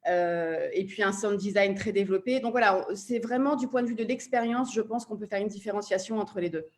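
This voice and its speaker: A woman speaking as a panel guest, explaining her view in a hesitant way with frequent pauses. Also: medium pitch. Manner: hesitant, piecing thoughts together with frequent pauses. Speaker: panel guest from an audio platform.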